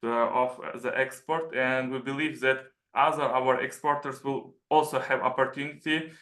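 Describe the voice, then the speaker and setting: A man speaking for an embassy, with a bright, forceful tone and very little pitch movement. His formal remarks come out hesitantly, full of fillers. He sounds like he is in an echoey room. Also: level tone, moderate pace. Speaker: embassy official. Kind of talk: formal webinar opening remarks. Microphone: video call.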